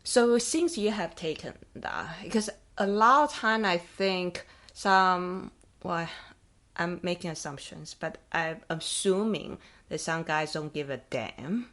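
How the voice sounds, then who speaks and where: A woman's voice: on the low side, slow, a bit bright. A woman host from Taiwan with a Taiwanese accent, asking thoughtful questions in a casual interview, with small grammar slips. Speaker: podcast host.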